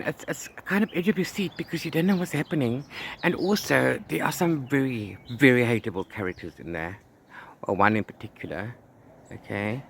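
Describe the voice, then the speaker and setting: A man's voice: mid-range voice, a bit bright. A man chatting casually as a book vlogger, rambling and repeating words and phrases for emphasis. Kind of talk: casual chat to camera about reading. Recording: clear background noise.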